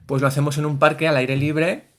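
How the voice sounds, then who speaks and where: A man, co-hosting a casual culture podcast as a theatre director, animated and playful, exclaiming often. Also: on the high side, a little fast, slight background noise. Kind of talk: casual chat.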